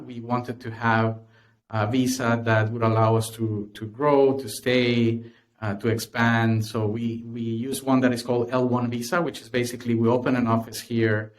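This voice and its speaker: A man from Honduras with a Honduran Spanish accent, a podcast guest who talks with many fillers and restarts. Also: medium pitch. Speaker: guest, tech company cofounder. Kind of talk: podcast interview.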